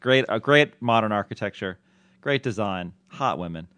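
A man's voice: fairly low pitch, moderate pace, no room sound. A man hosting a podcast, bantering casually and laughing often. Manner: laughs often, jokey and animated. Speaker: podcast host.

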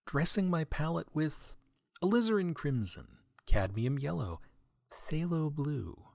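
A man delivers a wry comic monologue as a podcast host. His pitch is middling but moves around a lot, giving mock-grandiose flourishes to an otherwise deadpan manner. The sound is dry and close on the microphone. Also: creaky, no echo. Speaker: podcast host, writer and audio editor. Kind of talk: wry comedic monologue, answering listener mail.

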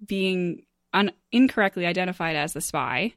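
A woman hosting a game show among friends, casual and upbeat and quick to laugh. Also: fairly low pitch, lively intonation, fairly bright tone, no echo, clean studio sound.

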